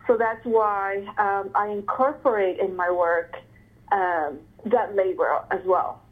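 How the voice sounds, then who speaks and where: A woman from Ecuador answering interview questions, hesitant, with many fillers and frequent throat clearing. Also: medium pitch, fairly even intonation, slow pace. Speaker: interviewee, artist. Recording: phone-like narrow sound.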